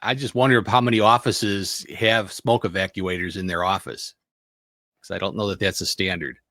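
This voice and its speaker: A man hosting a casual panel discussion, agreeable and quick with short affirmations as he steers the talk. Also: sits low, fairly even intonation, sharp tone, no echo, studio quality. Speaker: host. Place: studio.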